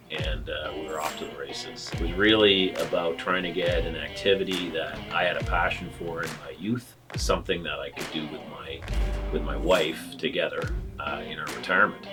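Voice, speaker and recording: A man in his fifties from Canada, talking reflectively in a documentary interview, often pausing and restarting his phrases. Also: a bass voice. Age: 50s.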